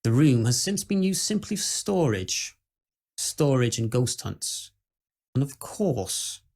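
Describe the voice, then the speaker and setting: A man plays a British haunted-house tour guide in a scripted ghost story. He sounds courteous and solemn, explaining with measured, dry menace at a middling pitch. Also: a little mellow. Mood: dryly ominous. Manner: measured, explanatory, dryly ominous.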